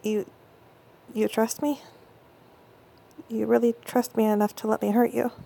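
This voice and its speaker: A woman playing a husky, intense young girlfriend. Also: fairly high pitch, level tone. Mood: tender, conflicted. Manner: soft breathy whispering, halting stammers. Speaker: audio roleplay performer. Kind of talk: intimate audio roleplay.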